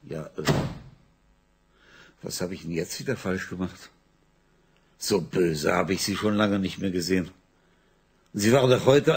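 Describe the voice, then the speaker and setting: A jovial, chuckling rich father, with a gravelly, husky voice. His intonation rises and falls in a lively way. A warm-hearted radio-play figure. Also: on the low side, exaggerated voice. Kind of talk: 1980s radio drama acting.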